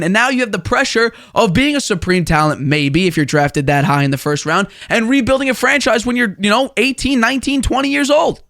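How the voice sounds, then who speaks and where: A young man of about twenty with a high-pitched voice hosts a solo sports show. He talks in an opinionated, conversational way and hammers points home by repeating himself. The sound is close and dry, with very little room in it. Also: a bit bright, dry recording. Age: 20 years old. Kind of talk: sports commentary monologue.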